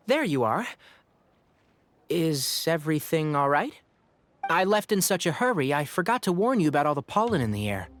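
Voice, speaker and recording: A woman voicing an earnest, caring young woman in a video game who patiently explains things. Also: fairly low pitch. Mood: concerned. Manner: warm-hearted, earnest, gently patient. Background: game music.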